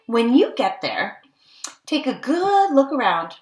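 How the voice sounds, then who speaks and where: Slow-paced woman reading to young children. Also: sits high, sing-song. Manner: childlike-simple, questioning, exclaiming with gasps and asides. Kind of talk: picture-book read-aloud with questions and commentary.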